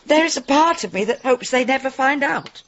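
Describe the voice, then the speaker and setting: A woman's voice: high-pitched, normal speed, lo-fi sound, background noise. An actress playing a grieving, guarded middle-aged village mother in a radio drama, brittle and emotional. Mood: grief-stricken.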